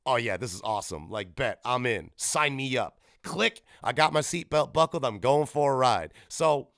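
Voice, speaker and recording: A man's voice: mid-range voice, expressive, moderate pace, creaky, fairly bright tone, no room sound, studio quality. A man in his thirties hosting a solo gaming podcast, rambling casually and correcting himself as he goes. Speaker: podcast host. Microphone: podcast microphone.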